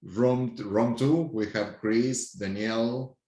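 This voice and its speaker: A man from Argentina with an Argentinian accent, leading a group discussion on a video call in a halting, friendly way. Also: mid-range voice, fairly even intonation, dark tone, roomy sound, rough recording. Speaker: workshop facilitator. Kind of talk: online workshop discussion.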